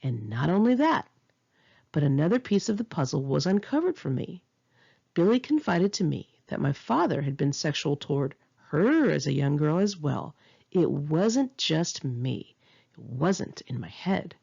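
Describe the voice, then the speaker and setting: Expressive, gravelly woman reading. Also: sits low, unhurried, highs cut off. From United States. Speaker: author reading own memoir. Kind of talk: memoir audiobook reading.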